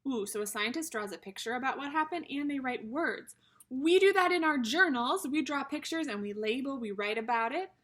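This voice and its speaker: Female early-years teacher, high-pitched and slightly husky, animated and emphatic, delivering a children's read-aloud lesson. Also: normal speed. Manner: instructional, addressing young children with simple questions and repetition.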